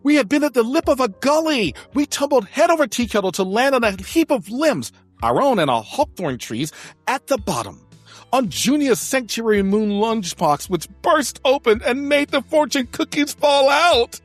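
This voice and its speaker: Give me a man playing a melodramatic young adventurer, swooping pitch. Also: big pitch swings, normal speed, full character voice. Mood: excited narration. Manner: florid, dramatic storytelling. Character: theatrical, melodramatic young male adventurer narrating a quest. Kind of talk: scripted audio drama narration. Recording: music behind the voice.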